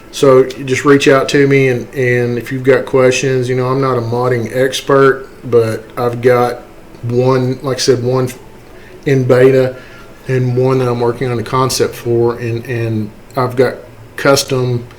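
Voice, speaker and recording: A man's voice: mid-range voice, little pitch movement, a little slow, a little hiss or hum, poor audio. A man giving a casual, unscripted tutorial in a folksy, self-deprecating way. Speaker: tutorial host. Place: indoors, voice-over to screen capture.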